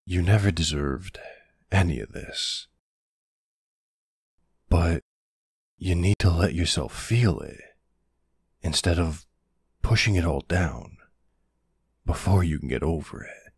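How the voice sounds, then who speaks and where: A man playing a protective older ex-military boyfriend, gruff but tender, in an intimate ASMR roleplay with a gravelly, husky, soothing voice. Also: low-pitched, darkish tone, no room sound, studio quality, exaggerated voice. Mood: gentle reassurance. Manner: slow, hesitant, soothing.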